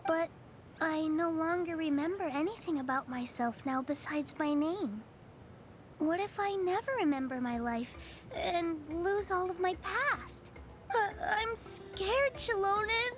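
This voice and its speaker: A woman voicing a timid young girl who has lost her memory, speaking in a halting, hesitant way. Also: extremely high pitch, slight background noise. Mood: frightened.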